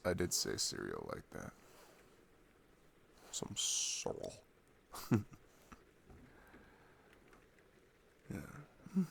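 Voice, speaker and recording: A man playing an affectionate, playful boyfriend in an ASMR roleplay, talking softly and intimately. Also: deep voice, creaky, slight background noise, heavily acted. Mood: playful, tender. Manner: soft, unhurried, intimate, chuckling. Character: affectionate, playful, sleepy-morning boyfriend, cuddly and sweet.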